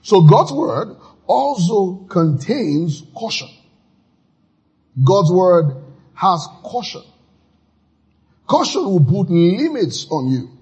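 A man preaching with a deeply husky, rough-edged voice pitched a little high. He delivers his sermon exuberantly, breaking into loud shouts of praise between readings of scripture. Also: big pitch swings, slow pace, pauses often, dull audio. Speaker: pastor.

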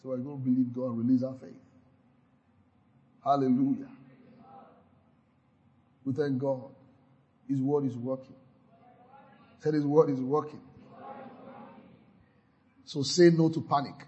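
Husky-voiced pastor exhorting. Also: male voice, medium pitch, fairly even intonation, unhurried, pauses often, lo-fi sound. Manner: exhorting, repetitive chanting of declarations, frequent hallelujahs. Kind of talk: sermon with call-and-response declarations.

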